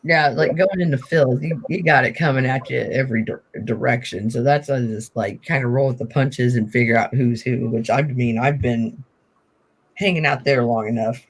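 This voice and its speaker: A man co-hosting a live stream in casual chat, talkative and quick to laugh. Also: mid-range voice, slightly rough, rough recording. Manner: chatty, laughs often.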